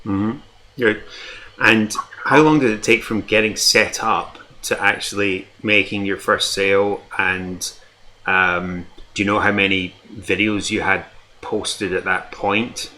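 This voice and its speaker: A man's voice: lowish voice, fairly even intonation, slow pace, pushed and bright, slight background noise. A man hosting a casual interview on a video call, asking upbeat questions with a few fillers. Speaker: host, marketing coach.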